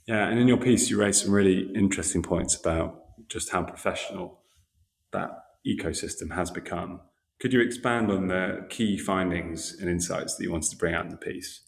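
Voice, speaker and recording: A man hosting an interview, asking measured questions in an echoing recording. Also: sits low, vocal fry. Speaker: podcast interviewer, host. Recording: roomy, echoing sound.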